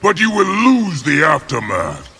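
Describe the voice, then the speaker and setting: A man's voice: a little high, huge pitch swings, measured pace, pushed and bright, slight background noise, exaggerated voice. An actor playing a domineering male warlord in a video game, gloating and demanding.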